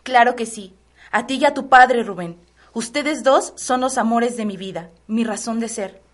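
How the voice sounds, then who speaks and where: A woman's voice: a little high, normal speed, close to an everyday voice. A woman acting a doting, devout middle-aged mother in a radio soap opera, with a Mexican accent and a tender, melodramatic delivery. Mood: tender, proud.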